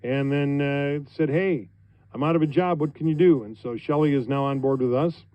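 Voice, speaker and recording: A middle-aged man with an American accent, a radio host talking on air in an informal, conversational, halting way. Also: fairly high pitch, clean tone, muffled recording. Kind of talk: informal on-air thank-you speech. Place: radio studio.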